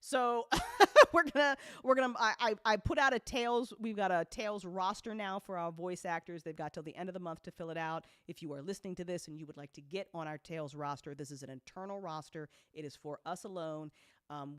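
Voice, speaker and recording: A woman's voice: fairly low pitch, normal speed, a bit bright, clear, resonant tone, dry recording. Candid, casual woman host with dry, close sound. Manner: blunt, freely swearing; informal, playful slangy phrasing. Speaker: host and audio drama producer. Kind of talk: casual livestream-style update talk.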